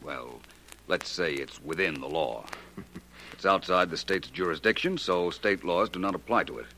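Private detective, deep, courteous, measured, probing, 1940s radio drama, noticeable hiss. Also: male voice, bright, forceful tone. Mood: probing, cool. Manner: polished, measured, probing questioning. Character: cool, courteous, authoritative male private detective. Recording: noisy vintage 1940s radio recording.

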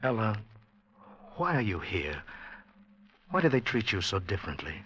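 A man's voice: fairly low pitch, big pitch swings, moderate pace, sharp tone. A man acting a nervous, earnest, lovestruck poet in a 1940s radio drama, speaking hesitantly. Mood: gently concerned. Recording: muffled old radio recording with music.

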